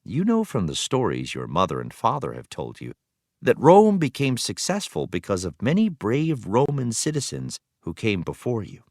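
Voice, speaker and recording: Expressive man reading aloud, swooping intonation. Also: on the low side, dry recording, studio quality. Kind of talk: scripted educational read-aloud narration.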